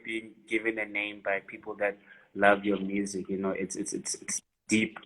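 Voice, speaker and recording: A man's voice: lowish voice, flat delivery, normal speed, fairly bright tone, rough recording. A South African man hosting a casual online interview, talking loosely with lots of fillers. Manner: loose, filler-heavy, friendly. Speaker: host.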